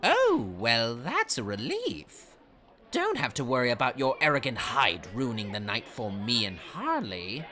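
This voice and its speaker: Teenage villain, audio drama, mocking, theatrical, sneering, slow delivery, huge pitch leaps. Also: male voice, huge pitch swings, pushed and bright, exaggerated voice.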